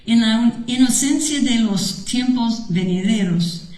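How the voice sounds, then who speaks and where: A woman at a conference slowly reading a prepared tribute aloud, with a Mexican Spanish accent and the odd slip. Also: fairly high pitch, a little mellow. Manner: slow, measured reading with some slips. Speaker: conference speaker. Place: lecture hall. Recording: echoey hall.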